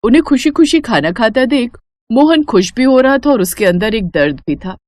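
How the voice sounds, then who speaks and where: A woman's voice: fairly high pitch, lively intonation, moderate pace, darkish tone, no room sound. A woman narrating a cartoon moral story in a scripted storytelling style.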